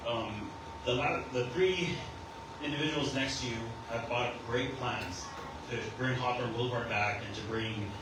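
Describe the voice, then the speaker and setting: Local guy with a loud voice grilling the mayor, pretty far off in an echoey room. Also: medium pitch, unhurried. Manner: direct and pointed, says he has a loud voice. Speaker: resident asking a question. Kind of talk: audience question at a public forum. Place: public meeting hall. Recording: echoey hall, distant mic, noisy.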